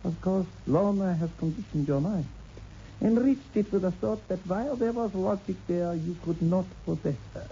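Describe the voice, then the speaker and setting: An actor playing an imperious, scornful occult mastermind, pompous and formal, in an old-time radio horror play. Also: male voice, sits high, normal speed, dark tone. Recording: muffled, hissy old radio recording.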